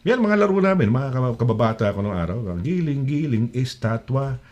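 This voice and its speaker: A man's voice: medium pitch, sing-song, a little fast, a little mellow, highs cut off. A middle-aged man from the Philippines with a Filipino accent, hosting a casual call-in show in a rambling, chatty way full of fillers and restarts. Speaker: talk show host. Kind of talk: casual live call-in chat.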